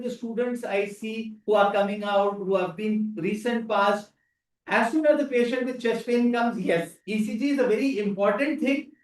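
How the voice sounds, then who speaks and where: A middle-aged Indian man lectures medical students in an earnest, advising way, leaning on repetition to drive points home. His voice sits high for a man and comes from some distance from the mic. The room around him rings with echo. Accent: Indian English. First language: Urdu. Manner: earnest, advising, repeats for emphasis. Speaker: doctor teaching medical students. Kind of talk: online workshop lecture.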